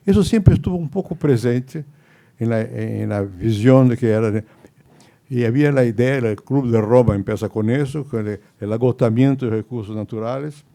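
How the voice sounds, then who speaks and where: Older man, gravelly voice, Spanish. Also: medium pitch, mellow. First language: Portuguese. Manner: halting, many restarts and repeated words. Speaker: panelist. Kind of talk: panel debate remarks.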